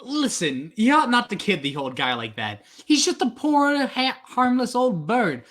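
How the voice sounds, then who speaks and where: A man playing a weary, soft-hearted radio studio worker who talks in a chiding way, in 1940s radio drama style. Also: very high-pitched, sing-song, a little slow, heavily acted.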